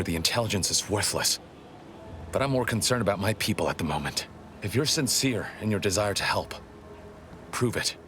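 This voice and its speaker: An actor playing a grave, guarded rebel spymaster in a video game, speaking in a formal, articulate way. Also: male voice, sits low, natural voice. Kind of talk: dramatic video game dialogue.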